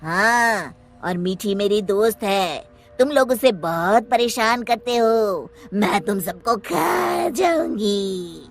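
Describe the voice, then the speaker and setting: A woman's voice: mid-range voice, lively intonation, slow, faint music behind. A woman voicing a mischievous, menacing witch ghost who is a protective friend, speaking in a commanding, theatrical way. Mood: angry, menacing.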